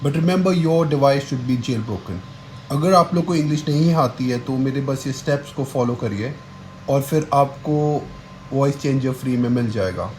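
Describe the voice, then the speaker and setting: A man's voice: mid-range voice, racing, poor audio. A man with an Indian accent, a native Hindi speaker, giving a casual step-by-step tech tutorial. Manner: switches between English and Hindi. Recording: steady background hum, home recording.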